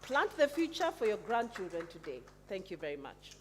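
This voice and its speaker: A woman presents to a conference at a middling pitch. She moves in halting phrases, often pausing to find the next word. A clear hum and noise sit behind her. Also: sing-song. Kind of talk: presentation with slides.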